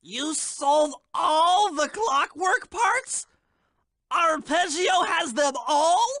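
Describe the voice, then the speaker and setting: A man voicing a small, brainy, fussy male turtle in a video game, with a high, pinched, precise delivery. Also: lively intonation, extremely slow, sharp tone, no echo, studio quality, exaggerated voice. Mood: flustered. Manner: precise, wordy, bookish. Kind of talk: video game character voice acting.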